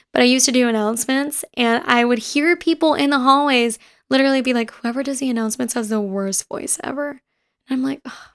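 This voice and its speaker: A woman in her twenties with a raspy voice, hosting a casual podcast and rambling quickly through personal stories. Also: fairly high pitch. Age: 20s. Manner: fast-talking and rambling. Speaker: podcast host. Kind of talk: casual chat. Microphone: home recording setup.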